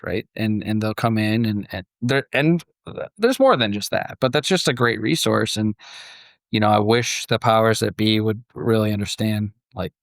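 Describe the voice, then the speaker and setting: A man's voice: mid-range voice, a bit bright, dry recording, clean studio sound. A man hosting a podcast, moving between conversation and scripted story narration. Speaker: podcast host.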